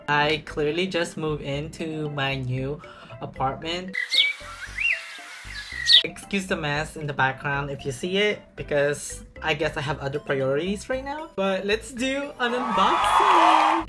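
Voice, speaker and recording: A young man chatting casually while unboxing a bag in a small apartment room, sassy and dramatic, stretching words for emphasis. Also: fairly high pitch. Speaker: vlogger.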